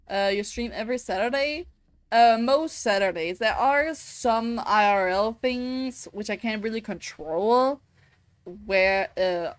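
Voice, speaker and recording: A woman's voice: a little high, no echo. A Danish woman streaming a game, thinking aloud and answering chat, often searching for words. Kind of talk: casual gameplay chat.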